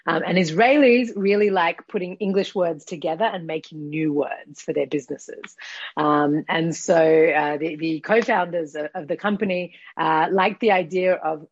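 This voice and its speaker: Australian woman with a gravelly, husky voice. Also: lowish voice, normal speed, no echo, muffled recording. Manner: thoughtful, with many fillers and restarts. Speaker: guest, company CEO. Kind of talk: casual podcast interview. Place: home in Israel.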